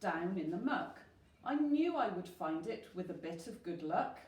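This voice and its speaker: A woman's voice: on the low side, very animated, a little slow, darkish tone. Woman voicing an eager storybook dog, roomy recording. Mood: hopeful. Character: eager, polite, bone-hunting cartoon dog. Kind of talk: rhyming children's storybook read aloud. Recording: roomy, distant mic with faint noise.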